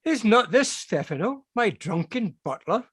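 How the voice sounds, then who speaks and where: A man's voice: high voice, big pitch swings, unhurried, slightly rough, full character voice. A man playing an astonished king in a Shakespeare play reading. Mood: incredulous.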